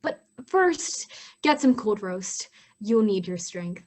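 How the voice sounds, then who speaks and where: A woman's voice: medium pitch, big pitch swings, slow pace, darkish tone, faint music behind. A woman playing a bossy, jolly married hostess and mother in an amateur radio play. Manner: commanding, matchmaking.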